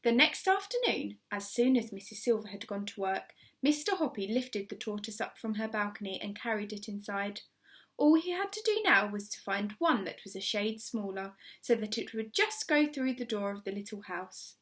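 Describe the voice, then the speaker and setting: Expressive woman reading a children's story. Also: mid-range voice, normal speed. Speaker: primary school teacher reading aloud.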